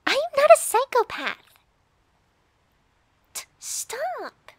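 A woman playing a bratty but affectionate, easily flustered young girlfriend in an intimate ASMR roleplay, pouty and teasing, often dropping to breathy murmurs. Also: very high voice, big pitch swings, takes it slowly, heavily acted. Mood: playful, coaxing.

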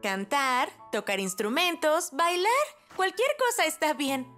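Encouraging preschool teacher, bright voice, unhurried, Latin American Spanish, cartoon, light music behind. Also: female voice, high voice, very animated, full character voice. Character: friendly kindergarten teacher addressing small children. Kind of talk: children's animated dubbing.

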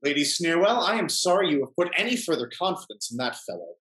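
A middle-aged man acting a smooth-talking, hypocritical gentleman in a period stage comedy, courtly and sententious. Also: a little high, big pitch swings, fast, rough recording. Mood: suspicious. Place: indoor room, performing on video call.

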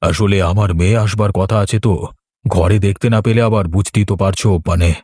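A man playing an elderly, weary village gentleman in a horror cartoon. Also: low voice, fairly even intonation, normal speed, husky, no room sound. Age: older. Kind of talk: Bengali horror cartoon dialogue.

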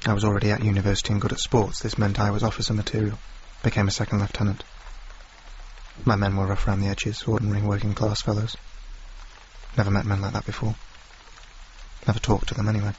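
An actor playing a reserved, educated young army officer of the war dead, speaking a radio drama monologue. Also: male voice, fairly low pitch, monotone, quick pace, pauses often, natural voice. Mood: reflective, aloof. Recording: dull, faintly noisy recording with rain ambience.

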